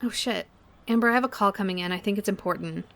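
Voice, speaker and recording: A woman's voice: mid-range voice, quick pace, creaky, fairly bright tone. A woman acting as a troubled, guarded young woman who stays polite, in naturalistic audio drama dialogue. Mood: polite, wary.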